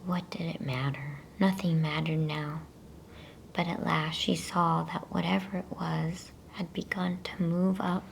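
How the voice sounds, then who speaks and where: Female ASMR reader, hushed creaky whisper, flat and very unhurried, for bedtime storytelling. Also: fairly low pitch, slight background noise. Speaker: ASMR storyteller reading a children's novel aloud.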